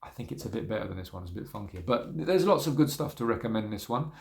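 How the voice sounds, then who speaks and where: Male record collector, lowish voice, laid-back and rambling, peppered with ums. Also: quick pace, gravelly. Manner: relaxed, rambling, many ums and trailing-off asides. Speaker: record collector showing vinyl. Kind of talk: casual spoken video review.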